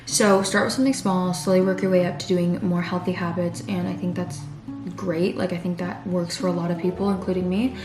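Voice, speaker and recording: Young woman vlogger, low voice, rambling casual chat in a roomy space with music under it. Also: steady tone, a little fast. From California. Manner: rambling, many fillers and restarts. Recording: roomy sound with background music.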